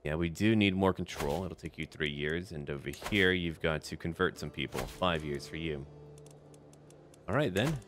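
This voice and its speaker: A man's voice: deep voice, animated, normal speed, background noise. A man giving roleplay commentary over a strategy game, talking to himself and the characters in short, playfully menacing sentences. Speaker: gaming video creator playing a strategy game.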